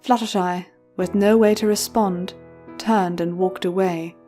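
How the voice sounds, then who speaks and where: A woman's voice: fairly low pitch, fairly even intonation, unhurried, mellow. A woman reading scripted story narration as the narrator of an audio drama. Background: music.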